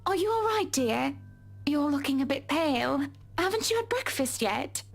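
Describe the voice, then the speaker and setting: Visual novel role: solicitous, well-off wife, high-pitched, motherly and chatty. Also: lively intonation, normal speed, vocal fry. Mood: sweet concern. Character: genteel, solicitous wealthy wife and client. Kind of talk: visual novel game voice acting.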